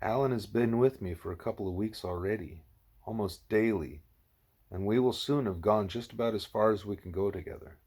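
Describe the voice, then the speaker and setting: A man voicing a grave, patient, wise animal teacher in an audiobook, speaking in a measured, formal, didactic way. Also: fairly low pitch, darkish tone, breathy edge.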